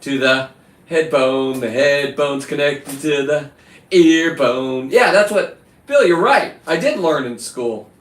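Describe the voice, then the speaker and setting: A man hosting a casual livestream, talking in a halting, digressive way as he chats to someone off-screen and to his viewers. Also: on the high side. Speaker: live stream host. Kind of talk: casual, rambling hands-on livestream chat. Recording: echoey room, distant from the mic.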